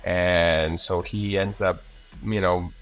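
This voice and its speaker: Male interview guest, voice actor. Low register, loose anecdotal delivery with repeated words, faint music behind. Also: slow. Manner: animated, rambling with repeated words. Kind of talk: casual interview chat with anecdotes.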